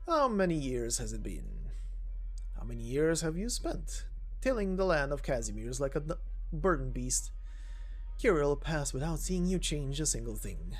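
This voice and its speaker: A man voicing a long-lived, menacing assassin from a game story, speaking deliberately and formally with ominous pauses. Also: on the high side. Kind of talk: dramatic game story reading.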